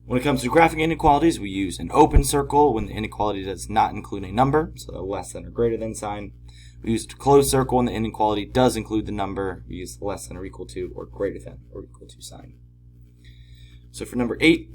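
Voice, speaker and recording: A man teaching in an informal tutorial style, patiently explaining step by step, his voice slightly hoarse from a cold. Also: fairly low pitch, quick pace, darkish tone, a little hiss or hum. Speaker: math teacher. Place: at home, at a desk.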